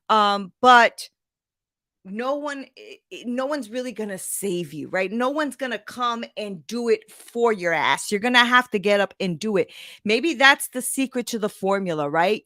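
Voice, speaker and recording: A woman's voice: on the high side, a bit bright, dry recording, studio quality. A woman in her forties hosting a conversational motivational monologue, emphatic and blunt, with slangy phrasing and frequent rhetorical check-ins. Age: 40s. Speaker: podcast host and coach.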